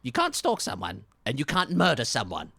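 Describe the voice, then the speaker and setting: A pushy Irish detective with thin hair and a goatee, played by a man who pitches him up and pushes him louder than his own talk. He accuses and sputters with a bright, forceful edge, like a tabletop game master doing voices. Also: huge pitch swings, moderate pace, creaky, dry recording, exaggerated voice. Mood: blustering. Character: thin-haired male detective, pushy and blustering.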